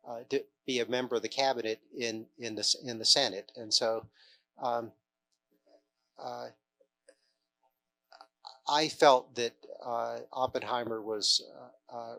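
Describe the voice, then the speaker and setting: A man speaks off the cuff as an interviewee, with a bright, forward voice at a middling pitch. His delivery is halting, and he often stammers and doubles back on his words. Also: rough recording. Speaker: film extra interviewed at an event. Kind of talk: unscripted interview answers.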